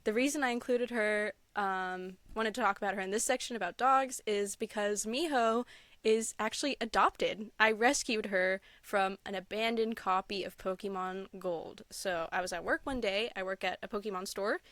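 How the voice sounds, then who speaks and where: A woman's voice: medium pitch, normal speed, a bit bright, no room sound. A young woman with a mid-range voice talks casually as a guest on a chat show. She tells personal stories with gushing enthusiasm. The sound is dry, with very little room to it. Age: young adult. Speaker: podcast guest, content creator.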